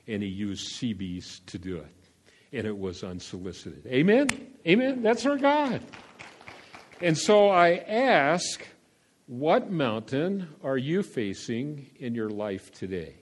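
An older male pastor delivering a folksy sermon, full of fillers and asides, swinging between quiet remarks and loud calls to the congregation. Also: mid-range voice. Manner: folksy, meandering preaching with frequent fillers.

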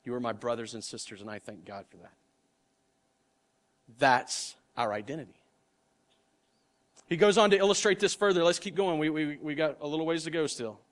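A middle-aged man preaches in a conversational, earnest way, stumbling and restarting now and then. His voice sits a little high for a man and moves a lot, rising to emphatic peaks and dropping low and soft. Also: expressive, moderate pace, pauses often. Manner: earnest, conversational preaching with repeated word starts. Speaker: pastor. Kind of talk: sermon.

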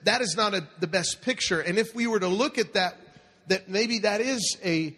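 A man preaching a sermon in an animated, call-and-response manner, asking the congregation to repeat phrases after him. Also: high voice, moderate pace. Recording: long hall reverb.

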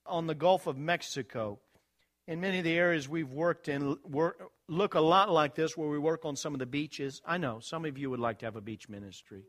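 A man's voice: on the high side, a bit bright. A man from Virginia, a missionary, thanking supporters in a friendly, lightly joking church talk while showing slides. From Virginia, USA.

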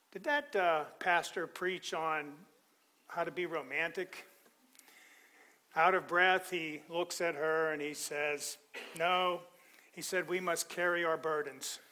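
A middle-aged male pastor preaching a sermon, animated and joking with the congregation. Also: fairly high pitch, slight background noise.

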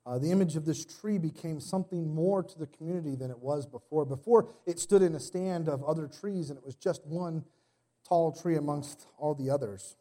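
Pastor with a cold, dark mellow voice. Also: male voice, moderate pace. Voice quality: extra deep voice today. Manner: casual, rambling delivery with many fillers and restarts. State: sick with a cold, slight cough. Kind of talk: sermon. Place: church.

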